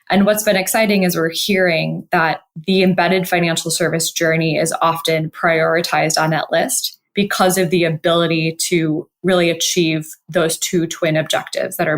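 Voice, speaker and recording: A woman from Milwaukee, Wisconsin, answering interview questions as a podcast guest in a fluent, composed way. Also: on the low side. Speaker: podcast guest, venture investor.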